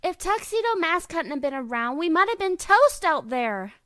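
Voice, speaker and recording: A woman's voice: extremely high pitch, sing-song, moderate pace, bright, forceful tone, no echo, clean studio sound, full character voice. A woman voicing a bossy, hot-tempered teenage magical-girl heroine in a parody audio drama, scolding and exasperated.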